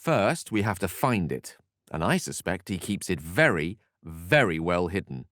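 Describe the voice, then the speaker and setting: Casting: learned, earnest fantasy game wizard; theatrical, wordy and formal delivery with animated pitch, recorded dry. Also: male voice, a little slow, dry recording, heavily acted. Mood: pensive. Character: learned, courtly male human wizard, eloquent and earnest. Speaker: video game voice actor. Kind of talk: scripted fantasy game dialogue.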